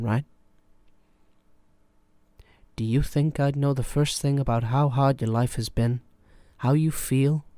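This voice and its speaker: A man playing a weary, wounded therapist in a film drama monologue, speaking in a measured, pointed and confrontational manner. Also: medium pitch, darkish tone, no room sound, lightly acted. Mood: confrontational, intense.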